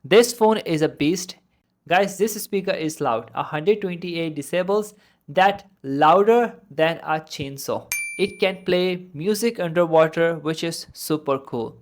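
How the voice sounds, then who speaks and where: A tech reviewer with a medium-pitched voice narrates a product review. The delivery is informal and enthusiastic, moving through features point by point. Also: unhurried, slight background noise.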